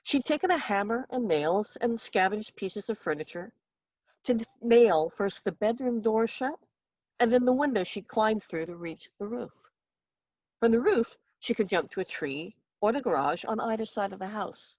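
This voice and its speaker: A woman's voice: mid-range voice, expressive, normal speed, a little mellow, no room sound, lo-fi sound. Female author for a story reading: wry, theatrical storyteller, mid-range voice, very little room sound. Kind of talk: expressive literary story reading.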